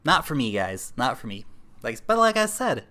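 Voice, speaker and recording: A young man with an American accent hosts a casual livestream, talking loosely to his viewers with plenty of fillers. His voice is bright and sits at an ordinary middle pitch. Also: sing-song, normal speed. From New Zealand. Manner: chatty, addresses viewers as guys, thinks aloud with fillers. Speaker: livestream host. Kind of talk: casual livestream chat reading viewer comments.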